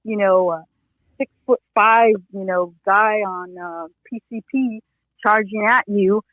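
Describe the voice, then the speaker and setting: A woman's voice: medium pitch, steady tone, slow pace, no room sound. Woman on a tinny phone line. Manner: rambling, many "you know" fillers and restarts. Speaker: retired police officer, interview consultant. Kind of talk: casual advice in an interview.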